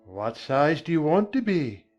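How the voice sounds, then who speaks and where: Actor as haughty storybook caterpillar: terse, contrary, drawn-out delivery, mid pitch, muffled sound over music. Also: sing-song, darkish tone. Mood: dismissive, contrary. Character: curt, contrary, haughty caterpillar smoking a hookah. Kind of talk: dramatized audiobook character acting.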